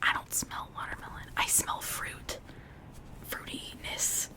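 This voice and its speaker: A woman speaking in a breathy whisper, rambling informally in a chatty ASMR style. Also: slow. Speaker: ASMR creator showing and unboxing toys.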